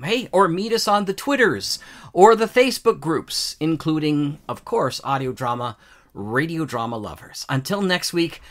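A man's voice: a little high, fairly bright tone. A man hosting an audio drama podcast, giving an upbeat sign-off. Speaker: podcast host.

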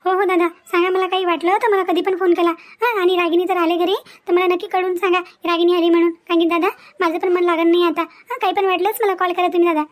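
A woman's voice: very high-pitched, level tone, fast, hardly any pauses. A woman playing a polite, caring young friend in a cartoon family drama, speaking respectfully and earnestly. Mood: worried, earnest.

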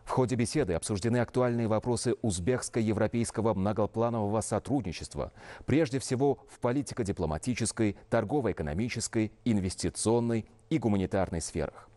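A man in his thirties reading scripted news as an anchor in a formal, measured, official manner. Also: lowish voice, warm tone. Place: television news studio.

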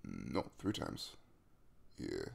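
A man's voice: rumbling low, sing-song, gravelly, husky, full character voice. A man playing a caring young crush the morning after a kiss in an intimate ASMR roleplay, speaking casually and hesitantly, with a reassuring manner. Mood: bashful, casual. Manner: hesitant, reassuring, with soft chuckles and trailing pauses.

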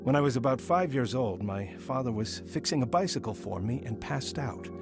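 An actor playing a man soberly recounting his troubled childhood in measured, scripted drama narration. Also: mid-range voice, animated, creaky, music in the background. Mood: reflective.

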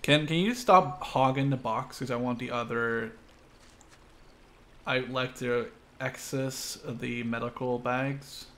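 A man's voice: medium pitch, steady tone, takes it slowly, fairly bright tone. A man in his twenties hosting a gaming video with casual, sweary commentary and chat. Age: 20s. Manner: informal, sweary. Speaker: gaming video host and player. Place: echoey room at a new place. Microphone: microphone of reduced quality.

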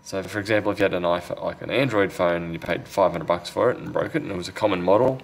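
Guy with a lowish voice, casual repair video talk, kinda muttering to himself at a workbench, noticeable background noise. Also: fast talker, gravelly. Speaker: phone repair technician and video host. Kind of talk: casual hands-on repair commentary.

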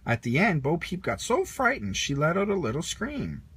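A man reading a children's story aloud in an animated manner with a husky voice. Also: on the high side, moderate pace, slight background noise. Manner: animated, puts on character lines. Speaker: storybook reader.

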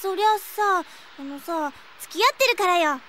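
A woman playing an eager, impulsive high school girl with girlish, expressive delivery in an audio drama. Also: moderate pace, a little hiss or hum. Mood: eager, flustered. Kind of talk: Japanese audio drama acting.

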